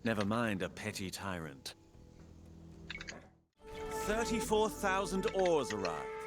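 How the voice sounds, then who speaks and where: Proud curt persian satrap in a game, lively pitch, dry and commanding, music underneath. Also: male voice, takes it slowly, exaggerated voice. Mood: dry, impatient. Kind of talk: video game dramatic acting.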